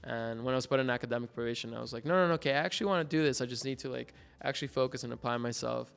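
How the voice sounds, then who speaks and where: A young man with a Mexican accent in casual interview talk, relaxed and rambling with many fillers and restarts. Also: mid-range voice, racing, vocal fry, clean tone. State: hungover. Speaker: graduating art student guest.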